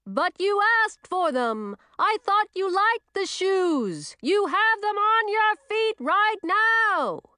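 Loud, high-pitched bellowing witch. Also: big pitch swings, moderate pace, dry recording. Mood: puzzled bellowing. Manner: booming, shouting delivery. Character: loud, cheerful, puzzled witch who shouts everything.

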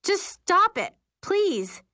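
A woman voicing an excitable, sarcastic, anxious teenage girl in an audiobook. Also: medium pitch, measured pace, dry recording, clean studio sound. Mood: panicked.

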